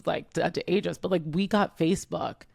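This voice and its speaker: A woman's voice: lowish voice, fairly quick, vocal fry, darkish tone, no echo. A woman in her thirties co-hosting a podcast in casual chat, rambling and filling her talk with "like". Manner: rambling, heavy use of "like". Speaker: podcast co-host.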